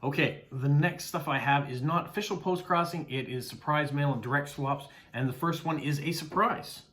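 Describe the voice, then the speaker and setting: A man's voice: on the high side, moderate pace, fairly bright tone. A middle-aged Canadian man, a mail carrier, chatting casually as he unboxes postcards, thanking each sender with many "uh" fillers.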